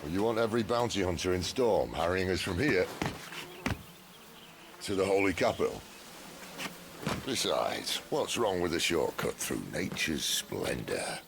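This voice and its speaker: A roguish outlaw assassin in a game cutscene, needling his companion with dry humor. The voice is very low and gravelly, and its pitch rises and falls in lively swings. Game music plays clearly underneath. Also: a little slow, pauses often, background noise, exaggerated voice. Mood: dry teasing. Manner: dry teasing banter. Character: wry roguish male outlaw assassin, middle-aged.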